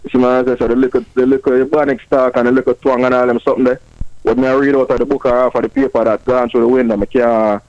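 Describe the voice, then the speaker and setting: A man's voice: medium pitch, little pitch movement, fast, a little mellow, no room sound. A man from Jamaica with a Jamaican accent, chatting casually on a radio show. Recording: dry, dull lo-fi sound.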